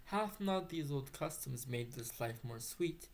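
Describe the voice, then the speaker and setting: Man acting a gravelly, measured exiled duke. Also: mid-range voice, lively intonation. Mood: stately, contented. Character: exiled duke addressing his companions, reflective. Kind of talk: Shakespearean stage monologue.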